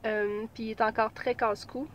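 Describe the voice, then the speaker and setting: A woman's voice: fairly high pitch, little pitch movement, normal speed, muffled recording, slight background noise. Chatty Québécois woman with a cold. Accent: Québécois French. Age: 30s. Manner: chatty, rambling, many fillers and restarts. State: stuffy, has a cold. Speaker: pregnancy vlogger. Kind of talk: casual vlog chat. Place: in her car.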